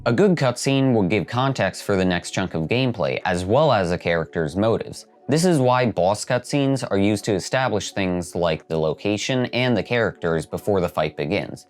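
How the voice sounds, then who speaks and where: Man, video essay host, lowish pitch, scripted narration, soft music behind. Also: lively intonation, moderate pace, hardly any pauses. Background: background music.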